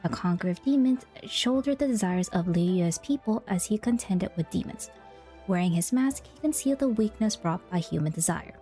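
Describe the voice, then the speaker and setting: A woman's voice: mid-range voice, lively intonation, a little fast, mellow. A woman game streamer giving casual playthrough commentary and reading game text aloud. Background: game music.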